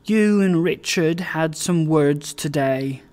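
A narrator voicing a doting, excitable old working-class father in an audiobook, exclaiming and repeating himself exuberantly. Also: mid-range voice, takes it slowly, close to an everyday voice. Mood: gently probing.

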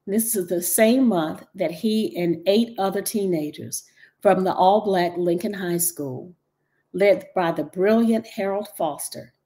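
A middle-aged woman from North Carolina giving a measured, earnest local history talk over a video call from her dining room. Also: mid-range voice, mellow. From Chapel Hill, North Carolina. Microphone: video call webcam.

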